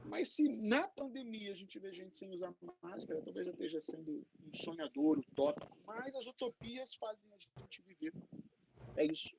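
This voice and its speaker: A man talks candidly as a guest professor on a panel. He is conversational and halting, often tagging phrases for agreement, on muffled audio with faint noise. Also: high voice, lively intonation, vocal fry. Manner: candid, halting, frequently seeking agreement with tags. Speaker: invited professor answering questions.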